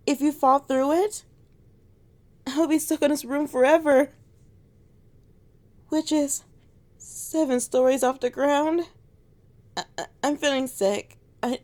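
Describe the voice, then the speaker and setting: High-voiced tsundere she-wolf, acted by a woman. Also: a little mellow. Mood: nervous, flustered. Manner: teasing, flustered stammering. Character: tsundere alpha she-wolf on honeymoon, teasing, scared of heights. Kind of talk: romantic ASMR roleplay acting.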